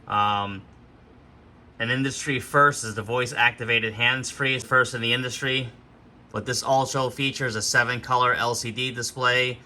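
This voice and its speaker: A man presents an informal, unscripted gear demonstration. His voice sits at a middling pitch but is strikingly bright and hard-edged. He explains each step plainly, in the manner of a hands-on instructor. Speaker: host of a gear demonstration video. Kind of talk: unscripted product walkthrough.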